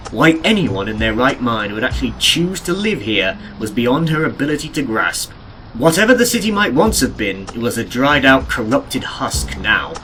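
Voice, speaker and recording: A man reading scripted audiobook narration of an adventure story in a deliberate, dramatic, descriptive way. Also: medium pitch, a bit bright, poor audio. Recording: music behind the narration. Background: game and cartoon soundtrack music.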